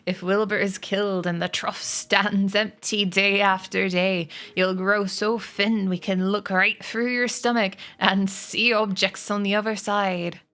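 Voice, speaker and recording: An elderly storybook sheep, acted out by a woman. The elder is shrewd and persuasive, speaking with measured, unhurried authority to the barnyard. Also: medium pitch, flat delivery, a bit bright, natural voice. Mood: stern, persuasive.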